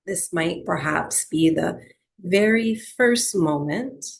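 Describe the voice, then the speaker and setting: Female meditation guide: very unhurried, gravelly edge, soft soothing instruction for breathwork and rest. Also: lowish voice, lively intonation. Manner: soothing, gentle, unhurried instruction with long pauses. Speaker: yoga and breathwork teacher.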